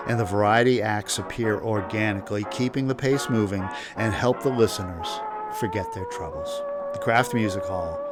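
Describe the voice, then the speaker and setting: A man's voice: lowish voice, normal speed, over loud music. A man hosting and narrating from a prepared script. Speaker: host.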